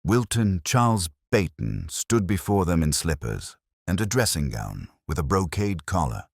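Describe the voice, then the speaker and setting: Male narrator, very low voice, animated pitch, dry close sound, scripted detective story reading. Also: a little slow, vocal fry, no echo. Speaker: narrator and reader.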